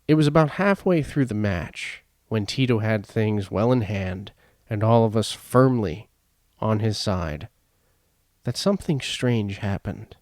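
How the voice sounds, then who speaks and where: A man reading a scripted story as a podcast host, in a dramatic, deliberate and emphatic way. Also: fairly low pitch, lively intonation, a little mellow, no room sound, studio quality. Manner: theatrical, deliberate, emphatic phrasing. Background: prominent background music.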